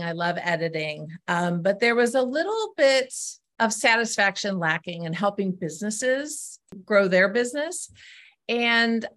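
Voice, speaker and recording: A woman's voice: medium pitch, a bit bright. A middle-aged woman, a guest on a podcast, explaining her work as an essay coach in an earnest, step-by-step way. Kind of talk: explanatory interview answers.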